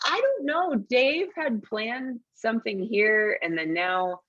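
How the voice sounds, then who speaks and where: A woman's voice: fairly high pitch, takes it slowly, lo-fi sound. An Australian woman in her late thirties, a podcast guest talking casually and bluntly, swearing freely as she tells stories. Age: late 30s. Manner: blunt, profane, animated storytelling.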